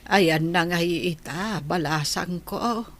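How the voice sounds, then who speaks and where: A woman playing a frail, ailing old grandmother in an audio drama, with an Ilocano Filipino accent and a husky voice. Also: on the low side, takes it slowly. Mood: resigned.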